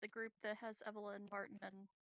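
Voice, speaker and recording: A woman's voice: medium pitch, level tone, fast talker, fairly bright tone, no room sound. A woman chatting casually as a tabletop game player, hesitant and repeating her words a little. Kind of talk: casual joking chat.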